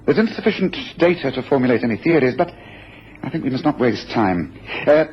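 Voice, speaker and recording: Guy acting sharp-eyed detective in a radio drama, precise n bossy, very animated, dull slightly noisy recording. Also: medium pitch, close to an everyday voice. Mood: businesslike. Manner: analytical, precise, deliberate, authoritative. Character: brisk, observant, commanding gentleman detective.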